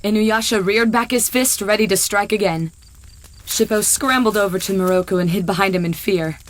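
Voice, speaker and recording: A woman's voice: medium pitch, normal speed. A woman narrator reading a scripted story as part of an audio drama.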